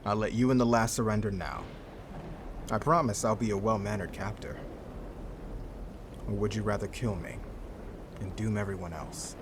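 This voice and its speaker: A man's voice: fairly low pitch, steady tone, normal speed, pauses often, background noise, close to an everyday voice. A man playing a feared pirate overlord in an audio drama, a sly, gloating villain with a mock-courteous, taunting, theatrical delivery. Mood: smug, taunting. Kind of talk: cinematic audio roleplay acting.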